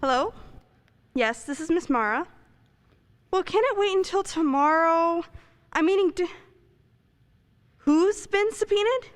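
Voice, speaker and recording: A woman acts out a female prosecutor in a radio play. Her voice is very high and swings widely in pitch. She is brisk and assertive, quick to defend herself. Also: expressive. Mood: exasperated, defensive. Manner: clipped, assertive questioning. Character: brisk, defensive female assistant district attorney. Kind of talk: stage-style radio play acting.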